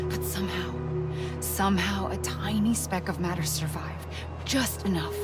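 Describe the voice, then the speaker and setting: An actress performs a grave, weary woman leader delivering a video game monologue. Her voice sits a little low, and she speaks in measured, reflective phrases with deliberate pauses. Loud music plays behind her throughout. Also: lightly acted. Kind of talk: dramatic scripted monologue in a video game cutscene.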